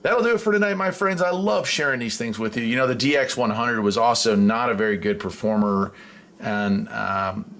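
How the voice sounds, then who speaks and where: A man giving a casual, folksy hobby demonstration, thinking aloud haltingly as the host. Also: mid-range voice. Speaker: host of a radio hobby channel. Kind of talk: casual product demonstration chat. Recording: loud radio hiss and static noise. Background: shortwave radio static.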